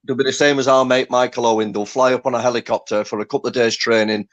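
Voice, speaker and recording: A man's voice: mid-range voice, fairly even intonation, fast talker, dry recording, dull audio. A man from Lancaster hosting a fan forum, chatting in an enthusiastic, matey way. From Lancaster, England. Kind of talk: casual fan chat.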